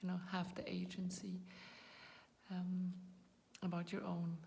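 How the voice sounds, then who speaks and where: Host, woman, 40s, non-native English, flat gravelly delivery, soft faint noise behind, reflective and searching for words. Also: fairly low pitch, a little slow. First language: not English. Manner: reflective, searching for words, often trails into a whisper. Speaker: YouTube channel host. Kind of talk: personal talk to camera.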